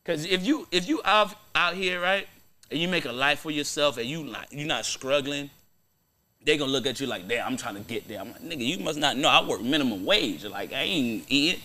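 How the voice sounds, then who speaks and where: Bright, forceful young American man. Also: a little high, expressive, a little fast. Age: young adult. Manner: slangy, profane, argumentative. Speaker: podcast host. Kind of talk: casual banter and debate. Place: small podcast studio, indoors. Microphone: close boom-arm microphone.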